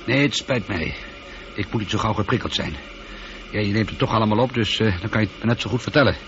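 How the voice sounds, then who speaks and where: Low-voiced, wry male space pilot played by a man. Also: quick pace, lightly acted. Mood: irritated, apologetic. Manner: dry, informal, conversational. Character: male space pilot, wry, teasing, easily irritated but apologetic. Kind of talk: science fiction radio drama acting. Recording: dull, lightly noisy old radio recording.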